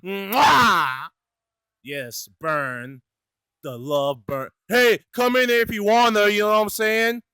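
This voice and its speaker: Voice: medium pitch, slow pace, pushed and bright, clear, resonant tone, dry recording, studio quality. A performer voicing a crude, cocky tomcat suitor in an improvised cartoon fandub, swearing in slangy, swaggering talk. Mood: lustful, excited.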